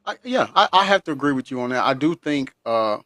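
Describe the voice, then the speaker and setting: A man's voice: medium pitch, expressive, brisk, dry recording. A man hosting a call-in talk show, agreeing readily with his guest. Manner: warm and affirming, laughs often. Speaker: host. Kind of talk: casual call-in chat.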